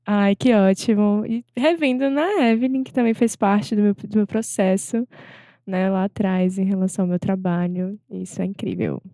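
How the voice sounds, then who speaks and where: A young woman speaks as a podcast guest, her voice mellow and soft-edged. She talks in a thoughtful, searching way, circling back on her words. The sound is dry and close. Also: medium pitch, moderate pace, dry recording. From Salvador, Brazil. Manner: reflective, many fillers and restarts. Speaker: guest artist. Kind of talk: casual interview chat.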